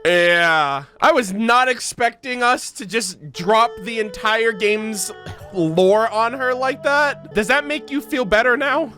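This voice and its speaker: A woman streaming a video game with casual, expressive, slangy and sweary reaction commentary. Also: fairly high pitch, slow. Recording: game music behind the voice.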